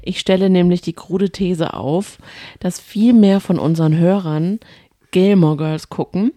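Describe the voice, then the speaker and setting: A young woman chats as a podcast co-host, with a fairly low pitch and a mellow tone. She rambles along in a chatty, friendly way. The recording is dry and close. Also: fairly even intonation, a little slow, dry recording. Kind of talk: casual chat.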